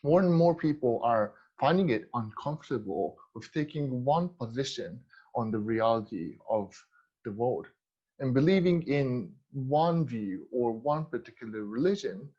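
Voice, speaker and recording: A man's voice: mid-range voice, warm tone, muffled recording. Japanese man with a blended accent. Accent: mixed Japanese, American and British. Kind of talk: prepared talk.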